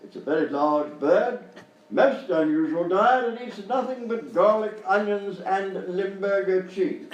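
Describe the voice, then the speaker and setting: High-pitched pompous English explorer. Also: male voice, unhurried, highs cut off. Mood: proudly boastful. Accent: upper-class English. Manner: pompous, easily flustered. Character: blustering, befuddled upper-class English gentleman explorer, knighted. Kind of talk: live radio-style sketch comedy. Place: live stage show at a hotel. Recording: roomy live hotel recording, distant mic, faint noise.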